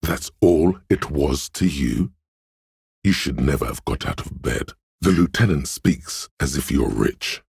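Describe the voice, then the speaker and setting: A man voicing a slick, smug, money-minded inner voice in a video game, speaking in a suave, deliberate, theatrical way. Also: animated, a little mellow, dry recording, clean studio sound, exaggerated voice. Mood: smug, coaxing. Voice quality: very deep, gravelly, husky. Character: slick hustling inner voice of a detective, smugly money-obsessed. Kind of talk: scripted game narration, theatrical and measured.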